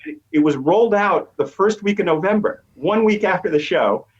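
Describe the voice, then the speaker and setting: A man's voice: high-pitched, animated, normal speed, husky. A man explaining research as an academic guest in an interview, eager and emphatic, on lo-fi audio. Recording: dull, lo-fi remote audio.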